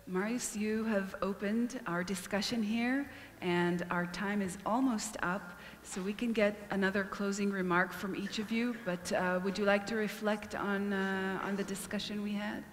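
A woman's voice: medium pitch, fairly even intonation, slight background noise. Woman moderator, echoey hall. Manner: slow, hesitant questions. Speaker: panel moderator. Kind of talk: moderating a panel. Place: large conference hall stage. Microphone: handheld mic. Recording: roomy hall with long reverb.

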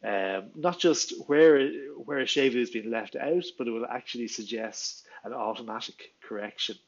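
An Irish man in his forties, a native Irish speaker, talks through a lesson at home with eager, chatty energy, full of "uh"s and restarts. His voice sits at an ordinary middle pitch. The headset recording sounds a little dull and lo-fi. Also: moderate pace. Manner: enthusiastic, chatty, many fillers and restarts. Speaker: Irish language teacher. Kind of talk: explainer video talk. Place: small living room at home. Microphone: headset, video call framing.

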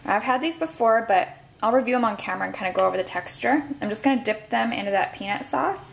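A woman giving a relaxed, chatty food review at her kitchen counter, with some fillers. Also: fairly high pitch, quick pace, creaky, slight background noise. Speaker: food vlogger. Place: home kitchen counter, indoors.

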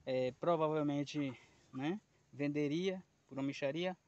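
A man's voice: a little high, unhurried, darkish tone, lo-fi sound. A man in his thirties vlogging casually outdoors on a lapel mic, chatty and rambling as he talks straight to his viewers. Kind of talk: casual vlog chat. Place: outdoors in a rural yard and fields.

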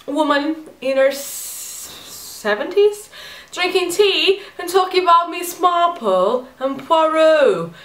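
A woman's voice: sits high, fairly bright tone, echoey room, far from the mic. A woman in her twenties with a Portuguese accent, chatting in a rambling, self-mocking way while doing her makeup in her bedroom. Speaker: beauty vlogger. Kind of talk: chatty get-ready-with-me vlog. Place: bedroom at home.

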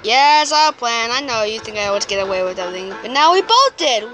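High, bright woman dubbing cartoon comics. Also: sing-song, brisk, a little hiss or hum, rough recording. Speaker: comic dub voice performer. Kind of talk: acted amateur comic dub of cartoon characters.

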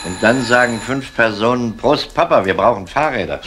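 A man's voice: mid-range voice, animated, moderate pace, fairly bright tone, lightly acted. An actor playing a wry, teasing male police colleague in a German radio crime drama. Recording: faintly noisy old radio play recording.

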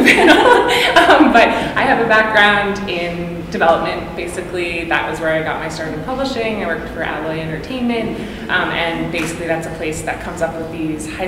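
Brief: low, chatty woman moderating a panel on a table mic, roomy hall sound. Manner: chatty, lots of "like" and "um", acts out quoted exchanges. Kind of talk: casual panel talk. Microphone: table microphone. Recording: echoey hall with background noise.

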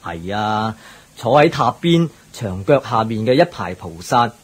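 A friendly local guide in radio-play dialogue. He explains things expressively, in a rough husky voice with lively swings of pitch. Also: unhurried, exaggerated voice. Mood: informative.

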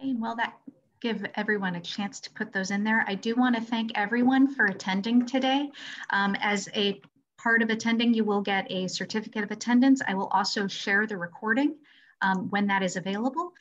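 A woman's voice: mid-range voice, fairly quick. A woman hosting a webinar and moderating questions over a video call. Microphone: video call microphone. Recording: dull lo-fi video call audio.

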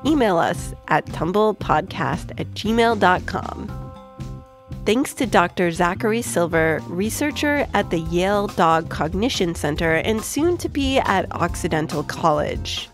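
Lively woman hosting over music. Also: on the low side, unhurried. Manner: playful, explanatory. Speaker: podcast host. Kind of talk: scripted narration for a kids' science podcast. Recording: music behind the voice.

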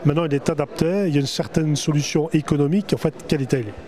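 A man's voice: fairly high pitch, steady tone, rapid-fire, a little mellow. A middle-aged man, hosting chatty promotional interviews at a trade show, speaking into a handheld microphone. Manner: chatty, salesman-like presenter. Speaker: trade-fair TV host. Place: exhibition hall stands.

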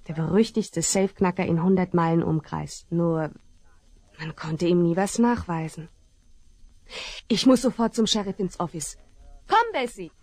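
A woman's voice: fairly low pitch, vocal fry, a little mellow, slightly rough, no echo, heavily acted. An actress playing an earnest, determined young woman in a 1970s radio play. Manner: earnest, urgent.

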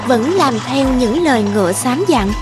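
High-voiced woman narrating a fairy tale. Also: animated, normal speed, over music. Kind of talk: scripted storybook narration.

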